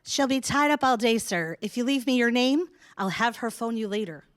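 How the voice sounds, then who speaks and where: A woman's voice: a little high, lively intonation, normal speed, close to an everyday voice. A woman voicing a polite female clerk at a police desk in a radio play. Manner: polite, formal.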